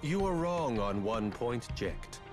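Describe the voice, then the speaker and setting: A man playing a cold, scheming, grandiose villain in a video game, with a pompous, measured, ominous manner. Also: medium pitch, very animated. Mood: cold scorn. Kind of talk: dramatic video game voice acting. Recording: loud music behind the voice.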